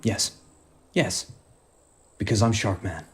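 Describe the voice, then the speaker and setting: A man's voice: lowish voice, takes it slowly, music in the background. A man playing a stubborn, guilt-ridden superhero father in a radio play, earnest and defensive. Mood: resolute.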